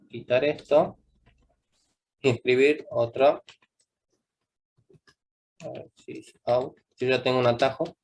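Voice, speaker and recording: Young male coding instructor, mid-pitched, patient, constantly checks understanding. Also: gravelly, a little mellow. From Argentina. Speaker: programming teacher. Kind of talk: live coding class.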